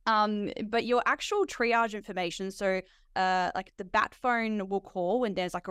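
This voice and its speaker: An Australian woman, a nurse hosting a podcast interview, talking in an animated way with frequent laughs. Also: mid-range voice, clean tone, dry recording, clean studio sound. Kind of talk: conversational interview.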